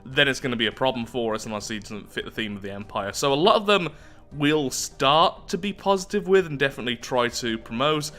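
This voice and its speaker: A man's voice: mid-range voice, animated, fairly quick, a bit bright. A man giving chatty roleplay commentary on a strategy game, thinking aloud as he goes. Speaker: gaming YouTuber host.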